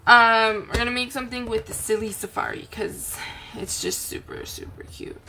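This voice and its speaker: A tired woman with a raspy voice, chatting casually as a crafting host, rambling gently. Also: on the high side, huge pitch swings, normal speed, a little hiss or hum. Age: adult, aunt. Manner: rambling, chatty, soft-spoken. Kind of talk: casual chat to camera.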